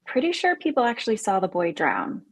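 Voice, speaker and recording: A husky young female camp counselor, acted by a woman in a horror script read. She is sardonic and skeptical, in a mid-range voice with a rough edge. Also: mid-range voice, wildly swooping pitch, a little fast, heavily acted.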